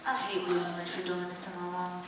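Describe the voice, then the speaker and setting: A woman's voice: on the low side, flat delivery, quick pace, fairly bright tone, faint music behind, lightly acted. Woman as young son, puzzled, hurt, stage drama, distant mic, strong echo. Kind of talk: stage play dialogue. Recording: echoey hall, distant mic, faint noise.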